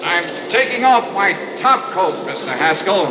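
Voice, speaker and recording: An actor playing a shrewd, coolly persistent insurance investigator in a classic American radio crime drama, with a probing, clipped delivery. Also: male voice, high voice, very animated, a little slow. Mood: taunting, tense. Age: young adult. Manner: probing, clipped, hesitant lead-ins. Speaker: radio detective lead. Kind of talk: classic radio crime acting and first-person narration. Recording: dull, noisy, echoey old radio recording.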